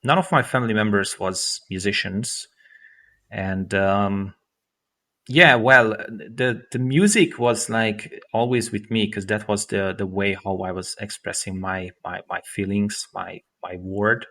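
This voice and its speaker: A man in his thirties from Romania, speaking English with a Romanian accent. His low voice has a bright, forward ring, and his phrasing is halting, with frequent restarts and fillers as he chats in an interview. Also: steady tone. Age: 30s. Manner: halting, many restarts and fillers, non-native grammar. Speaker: guest musician. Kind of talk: casual interview chat.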